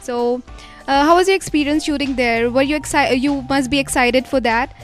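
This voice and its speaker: A woman's voice: high voice, normal speed, soft background music. A woman interviewing film actors, asking questions hesitantly with fillers like "uh" and "like".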